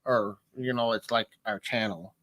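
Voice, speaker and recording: A man's voice: lowish voice, fairly even intonation, moderate pace, a bit bright. A man, co-hosting a live chat in a joking, easygoing way. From Arkansas. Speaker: co-host.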